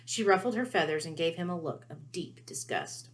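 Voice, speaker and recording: Low-voiced woman reading a story aloud. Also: moderate pace. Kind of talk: expressive children's book narration.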